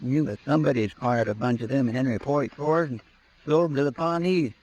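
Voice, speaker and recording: A folksy frontier sidekick talks in plain country speech, as an actor puts him on for a 1950s radio drama. His voice sits at a middle pitch and sounds easygoing. The old broadcast has a dull, muffled sound. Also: fairly quick, a little mellow, lightly acted. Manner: plain-spoken country talk.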